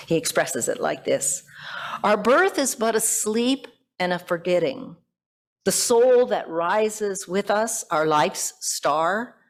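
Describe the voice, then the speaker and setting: A middle-aged or older woman, a pastor, preaching reflectively in measured phrases with deliberate pauses. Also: medium pitch. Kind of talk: sermon with poetry quotations.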